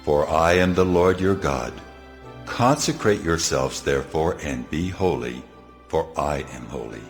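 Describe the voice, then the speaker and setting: God speaks in an extremely low, solemn voice. He is commanding and declarative, as in an audio scripture drama, with faint music underneath. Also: animated, a little slow, full character voice. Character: God, the Lord, solemn commanding male deity.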